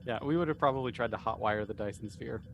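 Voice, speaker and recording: A man's voice: mid-range voice, fast talker, a little hiss or hum. A man answering questions in a casual group chat, laid-back and thinking aloud. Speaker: cast member answering questions.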